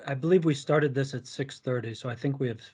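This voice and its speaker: A man co-hosting a community video call, answering in a low-key, hesitant way. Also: fast, a little mellow, slightly rough. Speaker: meeting co-host.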